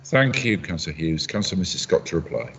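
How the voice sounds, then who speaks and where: Very deep husky man chairing. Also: big pitch swings. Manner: measured, formal, reads from a script. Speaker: mayor chairing the meeting. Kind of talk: formal chairing. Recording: dull lo-fi audio.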